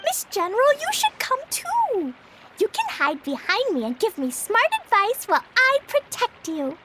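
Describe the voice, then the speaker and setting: Very high little girl voice, eager, playful, animated game style, heavy background noise. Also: normal speed, full character voice. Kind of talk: animated video game voice acting.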